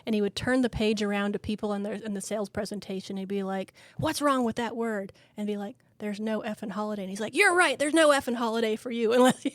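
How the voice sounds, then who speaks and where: A woman from Canada, co-hosting a podcast and chatting in an animated, storytelling way with frequent laughter. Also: mid-range voice, fast, few pauses, no echo, clean studio sound. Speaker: podcast co-host. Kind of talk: casual chat.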